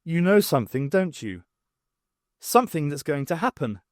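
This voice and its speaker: A man's voice: a little high, very animated, normal speed, dry recording, studio quality. A man voicing an uneasy, stiffly formal male academic, awkwardly confiding, in an audiobook. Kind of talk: audiobook character voice.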